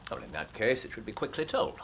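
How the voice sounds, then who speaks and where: An actor playing a shrewd, imperious consulting detective with an English accent, questioning formally in a period drama. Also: male voice, lowish voice, big pitch swings, fast talker, fairly bright tone, a little hiss or hum. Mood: dry, probing. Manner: incisive, theatrical, formal questioning.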